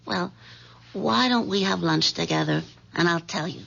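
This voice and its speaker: A woman's voice: lowish voice, normal speed, creaky, a bit bright, husky, full character voice. An American woman acting a scheming, seductive femme fatale in a 1970s radio drama, coaxing and commanding. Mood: confident, coaxing. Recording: dull lo-fi 1970s radio recording.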